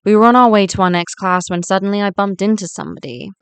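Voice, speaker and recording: A woman playing an excited, bubbly new scholarship schoolgirl, narrating her own story in an amateur game-animation drama. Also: mid-range voice, quick pace, clear, resonant tone, no room sound, natural voice. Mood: earnest narration. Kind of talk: first-person scripted story narration and acting.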